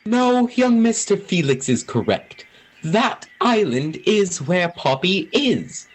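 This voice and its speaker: A performer playing a calm, cryptic forest guide who speaks solemnly in an amateur radio play. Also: mid-range voice, husky, heavily acted. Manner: slow, solemn, knowing.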